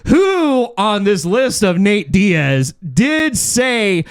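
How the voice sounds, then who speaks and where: Podcast host, male, very high pitch, brash and excitable, salty language, prone to shouting. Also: very slow, clean tone. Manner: brash, excitable, freely swearing, often breaking into shouts. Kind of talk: hyped game-show style hosting with casual banter.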